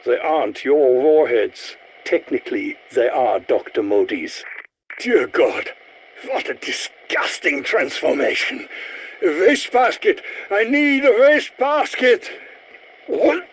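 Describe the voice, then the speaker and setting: An eccentric scientist for a video game. His voice sits fairly high and swings widely in pitch. He speaks slowly and precisely, with pompous, sardonic, squeamish theatricality. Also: big pitch swings, breathy edge, full character voice, poor audio. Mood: sardonic, grave, then alarmed, flustered. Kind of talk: video game character voice lines.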